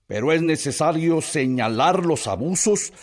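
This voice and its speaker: An actor with a Mexican accent playing a newspaper correspondent who formally reads out a grave letter of denunciation in a radio drama. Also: male voice, a little high, animated. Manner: formal, measured.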